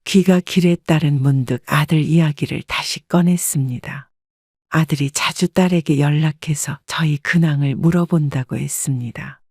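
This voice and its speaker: A woman in her sixties narrating her own story in the first person, calm and reflective. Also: sits low, moderate pace, no room sound, studio quality.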